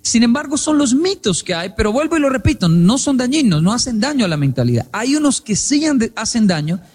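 A man's voice: extremely high pitch, big pitch swings, fairly quick, darkish tone, husky, in a large hall. A man from Colombia, giving a humorous storytelling talk on stage and acting out remembered conversations. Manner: humorous, acts out quoted dialogue. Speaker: public speaker.

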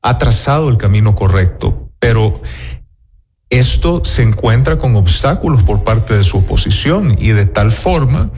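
A man's voice: on the low side, expressive, unhurried, a little mellow, husky, soft background music. A man with a Salvadoran accent, an interview guest making assertive political arguments. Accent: Salvadoran Spanish.

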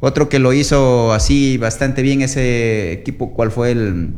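Measured sports radio man. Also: mid-range voice, a little mellow. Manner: explanatory, measured, with fillers like "pues". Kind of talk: casual sports radio chat.

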